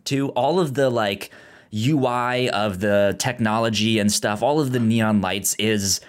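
A man co-hosting a podcast in casual film discussion, halting and often stumbling over or repeating his words. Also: fairly low pitch. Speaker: podcast co-host.

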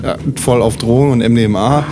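Man, average pitch, casual role-playing storyteller, halting delivery, working it out aloud, faint background noise. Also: fairly even intonation. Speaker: tabletop role-playing player. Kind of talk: casual improvised storytelling among friends.

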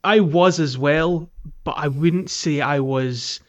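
A man co-hosting a podcast in casual chat, speaking in a hesitant, halting way. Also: fairly high pitch. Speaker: podcast co-host. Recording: dull lo-fi microphone audio.

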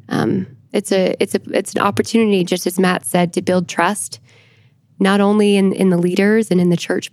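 Woman guest expert explaining stuff, earnest n measured, really dry sound no room. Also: mid-range voice, darkish tone, no room sound. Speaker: podcast guest, church historian. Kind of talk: thoughtful explanatory discussion.

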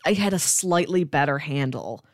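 A woman's voice: deep voice, animated, moderate pace, no echo, clean studio sound. A woman co-hosting a casual review discussion, thinking aloud in a conversational, hedging way. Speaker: podcast co-host reviewing comics.

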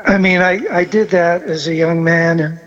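A man in his seventies, a musician guest born in Argentina and raised across South America, telling stories in a relaxed, rambling way with many fillers. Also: on the high side, normal speed, highs cut off, faint music behind. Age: 70s. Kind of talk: casual conversational interview.

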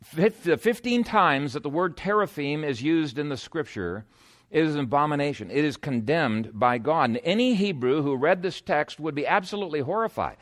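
A man's voice: on the high side, expressive, moderate pace. A man preaching an expository sermon in an emphatic, conversational teaching manner.

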